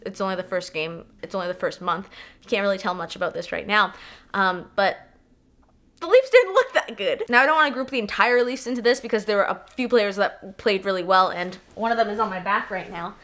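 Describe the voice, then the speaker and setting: A young woman with a stuffy cold, casually reviewing a hockey game in an excitable, swearing, animated way. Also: medium pitch. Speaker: hockey fan vlogger.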